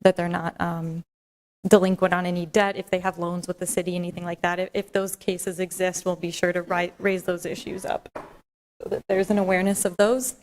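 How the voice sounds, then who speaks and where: A soft-spoken woman on city staff, giving a measured, explanatory presentation at a council meeting. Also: fairly low pitch, flat delivery. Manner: measured, explanatory, with fillers and restarts.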